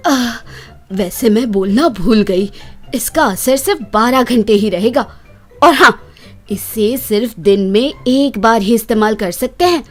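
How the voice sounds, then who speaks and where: A woman's voice: fairly high pitch, measured pace, faint music behind, natural voice. A woman playing a kindly older aunt who explains and cautions earnestly in a children's moral story. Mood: cautioning.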